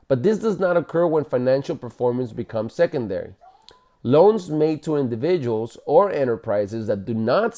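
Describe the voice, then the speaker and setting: A man with a mellow, relaxed voice at a middle pitch reads aloud from a book as a parent would at bedtime. His delivery is explanatory and informal, and he now and then corrects his own wording. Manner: explanatory, stumbles and corrects himself while reading. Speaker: parent reading aloud to children. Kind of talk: bedtime read-aloud of a book, with casual asides.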